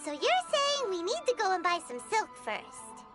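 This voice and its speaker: A tiny, curious fairy companion in a video game. The voice is extremely high, squeaky and childlike, swinging chirpily up and down. Music plays behind it. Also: female voice, wildly swooping pitch, normal speed, exaggerated voice.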